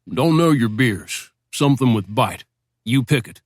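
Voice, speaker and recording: A man's voice: mid-range voice, expressive, unhurried, no room sound. A man voicing a terse American major. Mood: terse, dry. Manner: terse, dry. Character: laconic American army major from Arkansas.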